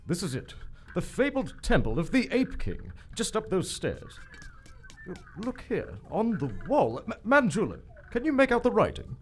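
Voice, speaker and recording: A man's voice: high voice, wildly swooping pitch, unhurried, faint music behind, heavily acted. A man playing a pompous, eager prince explorer in an old-time adventure radio play, with a theatrical, clipped way of talking. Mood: eager, confident. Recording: live stage recording, some background noise.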